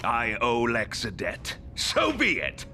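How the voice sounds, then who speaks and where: A man's voice: mid-range voice, huge pitch swings, vocal fry, bright, forceful tone, faint music behind, exaggerated voice. A male actor playing a menacing alien supervillain in a video game cutscene, speaking in a pompous, theatrical way. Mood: grandiose menace. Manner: pompous, theatrical, slow and deliberate.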